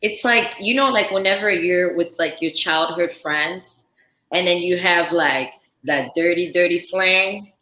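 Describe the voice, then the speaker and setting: A woman speaks in a bright, slightly low voice. She tells stories in a lively way, laughing often, as a guest on a casual podcast. The sound is echoey, like a bare room. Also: a little slow. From Central Africa. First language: French. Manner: lively storytelling with frequent laughter. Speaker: guest chef. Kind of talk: casual chat.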